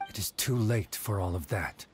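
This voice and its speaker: An actor playing a dignified swordsmith in a game, speaking formally and courteously. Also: male voice, fairly low pitch, expressive, normal speed, faint music behind, close to an everyday voice. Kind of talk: game cutscene voice acting.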